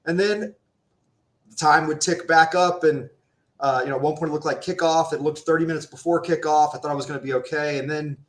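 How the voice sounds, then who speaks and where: A man, a podcast guest telling a story in a relaxed, rambling way. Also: a little high. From United States. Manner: relaxed, drawn-out storytelling with fillers. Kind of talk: casual storytelling. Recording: echoey room.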